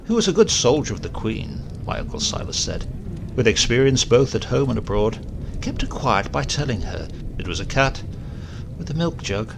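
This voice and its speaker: A man narrating a comic story with measured, wry delivery. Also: medium pitch, sing-song, gravelly, noisy background. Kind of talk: scripted narration of a comic tale.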